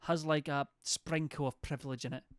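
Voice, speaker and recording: A young man chats loosely, swearing and rambling as he reads messages from his stream's chat. His voice sits a touch high for a man. The sound is close and completely dry. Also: fairly even intonation, a little fast, clear, resonant tone, dry recording. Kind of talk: casual chat reading viewer messages.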